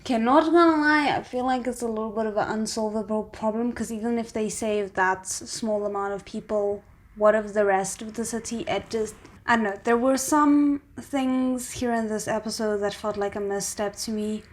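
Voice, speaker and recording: A woman's voice: fairly high pitch, moderate pace. A woman hosting a reaction video, commenting casually and thinking aloud in a hesitant way.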